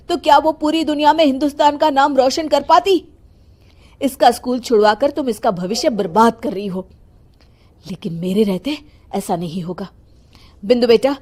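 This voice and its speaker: A woman's voice: a little high, darkish tone, natural voice. A woman voicing a caring, firm middle-aged father in a Hindi cartoon story. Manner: firm, encouraging. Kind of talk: cartoon voice acting.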